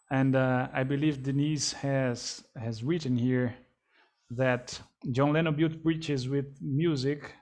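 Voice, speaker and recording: A man moderating an online panel discussion, polite and measured, with frequent fillers. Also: mid-range voice. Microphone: video call.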